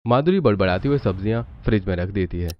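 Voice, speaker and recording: A man's voice: fairly low pitch, animated, fast. A man narrating a scripted cartoon family story as the storyteller. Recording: dull lo-fi narration, faint noise.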